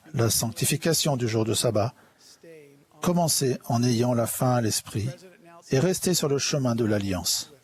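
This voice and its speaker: A man interpreting a church conference sermon in a measured, solemn, earnest way. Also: mid-range voice, a little mellow. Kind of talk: formal sermon delivered in translation.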